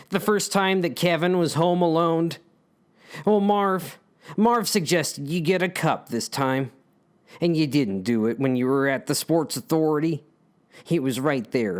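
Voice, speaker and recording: Wry, theatrical male storyteller. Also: high-pitched. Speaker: author reading own story. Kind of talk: dramatic scripted narration addressing the characters.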